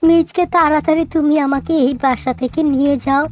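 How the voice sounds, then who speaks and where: A woman's voice: high voice, fairly even intonation, brisk, darkish tone, lightly acted. A woman voicing a young female cartoon sweetheart with urgent pleading. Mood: desperate pleading. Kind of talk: cartoon voice acting.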